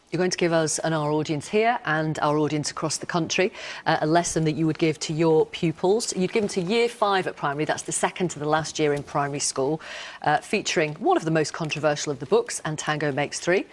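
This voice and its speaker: A woman presenting live current affairs in a studio, in a measured, clearly signposted delivery. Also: deep voice. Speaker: television presenter. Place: television studio.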